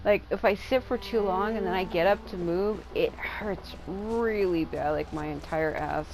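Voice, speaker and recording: Lower-pitched woman, sweary gaming commentary. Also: unhurried, creaky, noisy background. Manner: sweary, rambling, candid. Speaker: gameplay streamer. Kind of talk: casual let's-play commentary. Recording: game music playing behind the voice.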